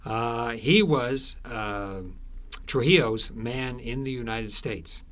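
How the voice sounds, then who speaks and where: A man being interviewed as an author, telling stories conversationally in halting, explanatory phrases with thoughtful pauses. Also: mid-range voice, a bit bright.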